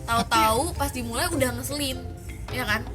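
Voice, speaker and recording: High-pitched woman chatting over music. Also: steady tone, brisk. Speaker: host of a reaction commentary show. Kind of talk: casual gossipy commentary on a video clip. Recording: music behind the voice.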